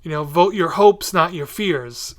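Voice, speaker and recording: A man hosting a podcast, chatting casually in a tentative, agreeable, halting way. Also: on the high side, expressive. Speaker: podcast host. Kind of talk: casual interview chat.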